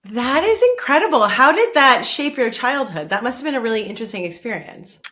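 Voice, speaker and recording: A woman's voice: a little high, very animated, brisk, bright, forceful tone. A woman hosting a podcast interview, enthusiastic and chatty, who laughs easily. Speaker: podcast host. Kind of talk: casual interview chat.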